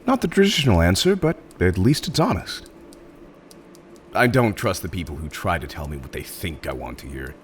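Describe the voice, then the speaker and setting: A man performs a supervillain interviewer for a roleplay audio drama, in a low voice that swings dramatically up and down. He is theatrical and mock-professional, politely exasperated, with hesitant turns and a readiness to chuckle. Faint noise sits behind the voice. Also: big pitch swings, normal speed. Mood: amused, businesslike.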